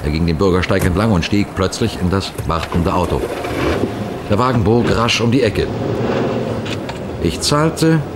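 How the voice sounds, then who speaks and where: A man playing a composed, clever police detective, recounting a case in measured, precise radio mystery narration. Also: deep voice, animated, heavy background noise. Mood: measured, suspenseful. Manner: measured, precise, deliberate storytelling.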